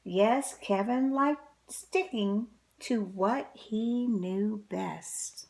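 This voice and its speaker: A woman reading a picture book aloud to children, with deliberate, dramatic, expressive storytelling. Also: medium pitch, gravelly.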